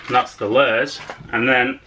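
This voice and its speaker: A man's voice: mid-range voice, fairly even intonation, takes it slowly, noisy background. A young man in his twenties chatting casually and enthusiastically while unboxing fishing lures at home, talking straight to a camera on his desk. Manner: easygoing, enthusiastic, chatty with filler words. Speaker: fishing vlogger. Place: small room indoors at home.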